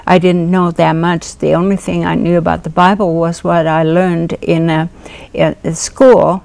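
An older woman from Finland, a guest telling her life story in an interview, hesitant with many pauses. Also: fairly low pitch, level tone, vocal fry, a little mellow. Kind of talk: personal testimony interview.

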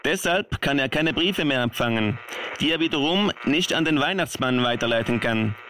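A man's voice: mid-range voice, expressive, moderate pace, sharp tone. A man playing a formal, earnest Swiss government minister delivering grave official news in a radio play. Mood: solemn, urgent. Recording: faint noise and clicks.

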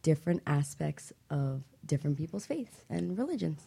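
Female co-host for studio talk radio, lower register, casual and playful banter. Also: very animated, moderate pace, gravelly, mellow, husky.

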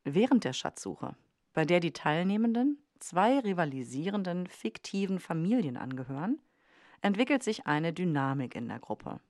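A woman's voice: lowish voice, big pitch swings, normal speed, a little mellow, no room sound, clean studio sound. A woman host reading scripted documentary narration for a podcast. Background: faint music.

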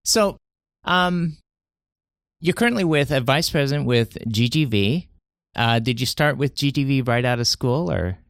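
A man hosting a conversational interview, asking questions in a halting, hesitant way. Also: medium pitch, animated, no echo, studio quality. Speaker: interview host.

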